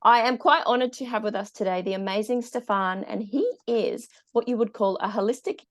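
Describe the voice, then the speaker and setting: Woman, 40s, warmly enthusiastic podcast host speaking through an earphone mic on a call. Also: mid-range voice, a little fast. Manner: enthusiastic, affirming, laughs often. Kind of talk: podcast interview. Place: bright room at home. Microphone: earphone mic on video call.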